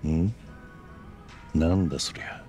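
A man's voice: rumbling low, slow, warm tone, soft background music. A man voicing a stoic, blunt middle-aged tough fighter in a video game cutscene. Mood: reserved. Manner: terse, blunt, reserved.

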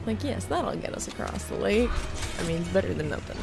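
A woman's voice: fairly low pitch, animated, a little fast, creaky. A young woman giving casual, wry live commentary as she reacts to a game, close on mic with game audio behind her. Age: young adult. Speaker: gaming reaction streamer. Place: indoor room, gaming setup. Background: loud game music and effects.

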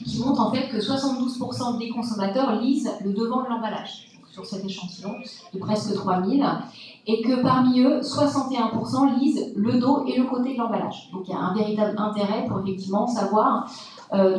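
Woman giving a science talk, kinda high voice, lots of euhs, big echoey amphitheatre, mic far off. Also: a little mellow, a little hiss or hum. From Brittany, France. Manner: measured, explanatory, with frequent hesitations. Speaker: lecturer, food science researcher. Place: large lecture hall. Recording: echoey lecture hall, from afar.

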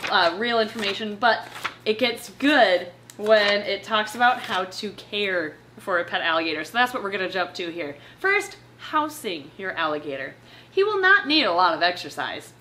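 A young woman hosting in a casual, chatty way, with an expressive, playful manner. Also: medium pitch, normal speed. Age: young adult. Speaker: host of a reptile channel. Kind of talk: casual chatty presenting with read-aloud passages. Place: living room at home, on a couch. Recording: clear background room noise.

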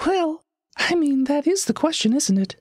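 Woman as a high-pitched, precocious little girl. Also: big pitch swings, moderate pace, no room sound, clean studio sound. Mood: inquisitive. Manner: pedantic, formal, articulate. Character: precocious, bookish little girl, coolly inquisitive. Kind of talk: acted audio story dialogue.

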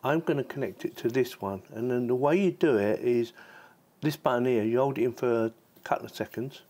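A man's voice: medium pitch, a little fast, mellow. A man hosting a casual product review, chatting informally and thinking aloud as he demonstrates the gear. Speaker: tech reviewer and channel host.

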